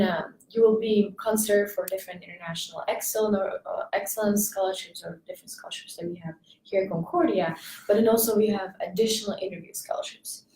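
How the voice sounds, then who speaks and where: A woman's voice: mid-range voice, a little mellow, recorded from a distance, a little hiss or hum. Chatty young woman from Slovakia. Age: young adult. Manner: chatty, many fillers. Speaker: admissions intern. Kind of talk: student panel chat. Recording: echoey room, off-mic.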